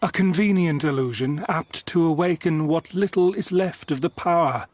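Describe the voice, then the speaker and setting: A man's voice: fairly high pitch, normal speed, a little mellow, no room sound, natural voice. A man playing a haughty, scheming video game villain who taunts in a theatrical, archaic, condescending way. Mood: smug, taunting. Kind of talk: video game cutscene acting.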